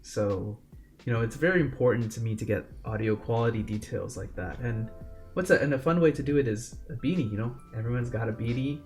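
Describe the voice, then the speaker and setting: A man's voice: mid-range voice, fairly quick, a little mellow. Young male podcast co-host, self-mocking rambler, home desk mic, faint music under. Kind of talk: casual video-call podcast chat. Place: home bedroom. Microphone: desk mic with pop filter.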